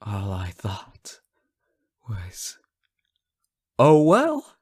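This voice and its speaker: A man acts out a yandere fallen angel, utterly devoted and dangerously obsessed. His deep-set voice moves very slowly through an intimate roleplay monologue, full of theatrical pauses. It drops to a murmur, then surges with fervor. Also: huge pitch swings, husky, heavily acted. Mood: brooding. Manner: theatrical, halting, swinging between hushed murmurs and impassioned outbursts.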